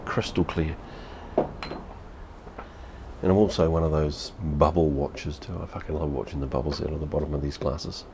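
A man's voice: extremely low pitch, steady tone, moderate pace, creaky, pauses often, noisy background. A New Zealand man in his fifties reviewing beer at home on camera, blunt and sweary, repeating himself as he talks. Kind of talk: casual vlog review. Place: home room with TV behind.

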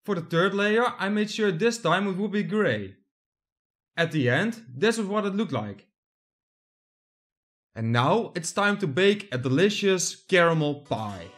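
Young man, high-pitched, from the Netherlands; plain step-by-step voice-over. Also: expressive, measured pace, fairly bright tone. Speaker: hobby builder narrating his project. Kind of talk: scripted voice-over narration.

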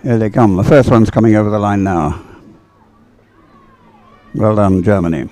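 Mellow, low-voiced male race commentator. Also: moderate pace. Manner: encouraging. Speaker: sports commentator. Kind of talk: live race commentary, unhurried and chatty.

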